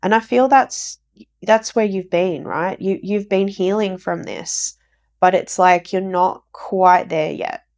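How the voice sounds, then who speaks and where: A woman giving a casual tarot reading for viewers, croaky from a recent flu, rambling and repeating herself. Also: medium pitch, slow pace, darkish tone. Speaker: tarot reader.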